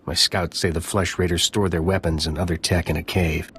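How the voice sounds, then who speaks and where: An actor playing a gruff, militant village scout chief in a video game, blunt and hard-bitten. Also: male voice, deep voice, flat delivery, a little fast, fairly bright tone, slight background noise. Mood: gruff resolve. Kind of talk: video game dialogue acting.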